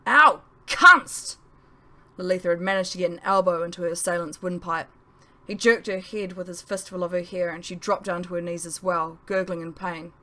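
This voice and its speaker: A woman's voice: on the low side, level tone, fairly quick. A woman reading her own novel aloud in a dramatic audiobook narration style.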